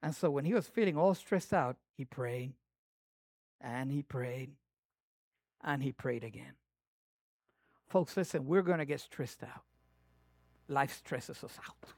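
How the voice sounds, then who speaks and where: A male pastor with a Latin American Spanish accent, preaching a sermon in a playful, animated way that swings between loud emphasis and hushed asides. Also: medium pitch, unhurried, long pauses, dry recording.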